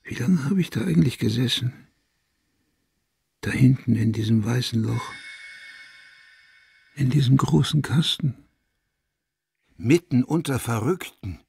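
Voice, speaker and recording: A man's voice: medium pitch, unhurried, long pauses, no echo, studio quality, exaggerated voice. An actor playing a paranoid, vengeful madman released from an asylum, volatile between whispering and cursing in a radio play. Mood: agitated, haunted. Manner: volatile, swinging from breathy whispers to loud cursing.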